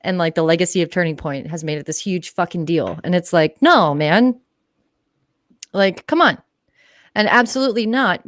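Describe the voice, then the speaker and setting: A woman's voice: on the low side, lively intonation, moderate pace, dry recording, clean studio sound. A woman guest on a livestream giving opinions casually, in a blunt, emphatic, sweary way.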